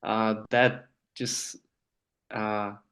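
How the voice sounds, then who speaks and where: A man's voice: fairly low pitch, flat delivery, drawn-out words, a bit bright. A man from Honduras, a native Spanish speaker, answering conversationally as an interview guest. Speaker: podcast guest, nuclear trainer.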